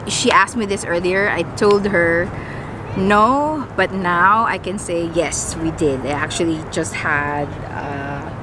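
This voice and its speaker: A woman's voice: fairly low pitch, takes it slowly. A woman from the Philippines, a Tagalog speaker, chatting casually to camera outdoors by a pier and answering friends' questions with lots of fillers. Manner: chatty and rambling, with many "you know" and "like" fillers. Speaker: vlogger. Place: outdoors by a city pier with traffic. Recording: loud street traffic noise outdoors.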